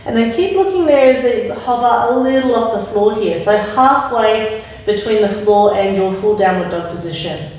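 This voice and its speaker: A woman leading a yoga class with calm, gentle spoken cues. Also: medium pitch, moderate pace, a little hiss or hum. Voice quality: slightly raspy when straining. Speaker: yoga instructor. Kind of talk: guided yoga class instruction. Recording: very echoey room, mic at a distance.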